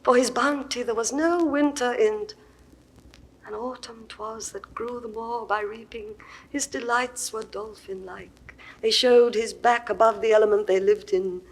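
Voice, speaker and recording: An actress playing a proud, grieving Egyptian queen in a classical verse recording, moving from hushed whispers to ringing outbursts. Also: on the high side, steady tone, unhurried, rough recording. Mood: grieving, dreamy.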